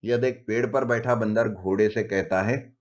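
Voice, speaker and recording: A man's voice: on the low side, steady tone, moderate pace. Man narrating a children's animal story.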